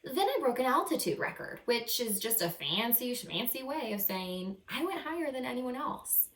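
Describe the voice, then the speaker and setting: A woman's voice: on the high side, normal speed. Woman reading to children in an echoey room. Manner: expressive, encouraging, explains words simply. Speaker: teacher reading a picture book aloud to children. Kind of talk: animated children's read-aloud with simple asides. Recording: roomy echo, distant microphone.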